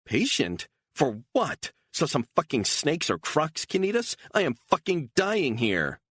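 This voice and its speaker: A frazzled young actress at the end of her rope, her voice pushed up high and tight, as a man puts her on. She swears and pleads in a shrill, strained way. The sound is close and dry. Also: fairly quick, a bit bright, no room sound. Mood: frantic. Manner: shrill, swearing, near tears.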